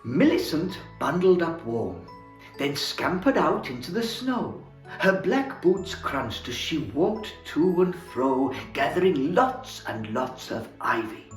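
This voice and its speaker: A man playing Santa as storyteller, reading a children's book aloud in a theatrical, expressive way. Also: fairly high pitch, takes it slowly. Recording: roomy echo, faint music.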